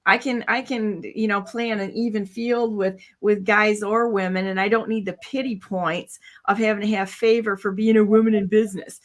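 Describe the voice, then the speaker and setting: A woman's voice: mid-range voice, steady tone, moderate pace, few pauses. A woman in her sixties hosting a casual chat, blunt and rambling, full of "you know" and "like". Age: 60s. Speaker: host.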